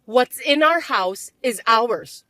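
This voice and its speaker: A woman voicing a spoiled young stepsister who taunts and bosses in a shrill, mocking way. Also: lively intonation, slow, bright, forceful tone, no room sound, full character voice. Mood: taunting.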